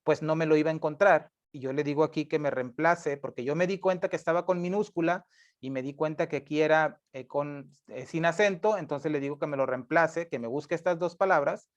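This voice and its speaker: A man teaches at his desk, his voice sitting a little high. He explains patiently, repeating key points and correcting himself often. The sound is dull and lo-fi. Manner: patient, repeats points, many tag questions and self-corrections. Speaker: instructor. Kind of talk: screencast coding lesson. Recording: dull lo-fi mic.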